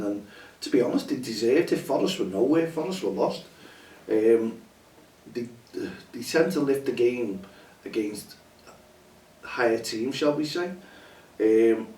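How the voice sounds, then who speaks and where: A hoarse man hosts a casual chat show, rambling and chatty with lots of fillers. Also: on the low side, darkish tone, a little hiss or hum. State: hoarse, losing his voice. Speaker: show host. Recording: roomy echo, distant from mic, faint ambience.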